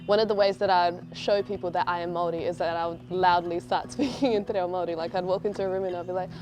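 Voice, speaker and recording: A Māori slam poet woman with a New Zealand accent, talking in a lively, playful way. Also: on the low side, fast talker, music in the background. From Aotearoa New Zealand. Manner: lively, playful, laughs often. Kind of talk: casual documentary talk.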